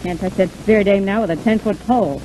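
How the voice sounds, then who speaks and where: A woman's voice: mid-range voice, fairly quick, dark tone, soft background music, close to an everyday voice. A woman playing a tough, sarcastic, slangy chorus girl in a 1940s radio serial. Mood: catty, scornful. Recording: noisy old 1940s radio broadcast.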